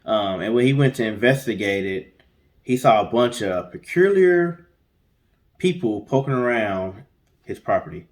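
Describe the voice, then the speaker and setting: A man's voice: fairly low pitch, expressive, unhurried. Casual, jokey male storyteller. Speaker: co-host of a story guessing show. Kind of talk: casual storytelling with chatty asides.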